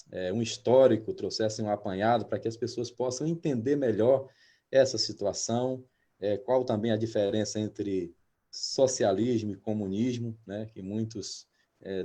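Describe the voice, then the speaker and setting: Pastor in his 50s hosting, lowish soft dark voice, lots of hesitant filler sounds. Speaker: pastor and interview host. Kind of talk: interview, reading a guest introduction.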